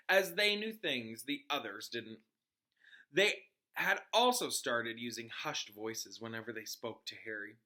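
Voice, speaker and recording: Bright-voiced man reading aloud. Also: mid-range voice. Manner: informal, pauses to explain to listeners. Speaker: teacher reading aloud to students. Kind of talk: children's novel read aloud with casual asides and explanations.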